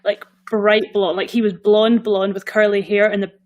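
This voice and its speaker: A woman's voice: medium pitch, little pitch movement, normal speed. A woman with a Scottish accent, hosting a casual interview and chatting freely with many fillers. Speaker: podcast host.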